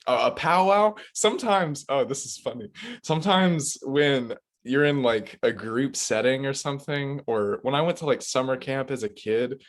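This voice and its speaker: A young man talking casually and laughing easily, loose and relaxed, with lots of "like" in his speech. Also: medium pitch, moderate pace. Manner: laid-back, laughs often, many likes. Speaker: co-host, English speaker. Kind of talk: casual chat.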